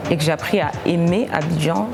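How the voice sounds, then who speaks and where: A woman's voice: fairly low pitch, fairly quick, creaky, a crowd in the background. A woman in her late twenties from South Africa, speaking reflectively and hesitantly in a documentary interview. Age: late 20s. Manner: reflective, hesitant, with many fillers. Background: music.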